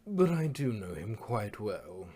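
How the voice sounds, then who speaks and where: A man's voice: lowish voice, very animated, slow, dark tone. A man voicing a refined, gracious female pony dressmaker in a theatrical, genteel manner for a cartoon fan story. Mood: genteel, brisk. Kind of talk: amateur cartoon fan fiction reading.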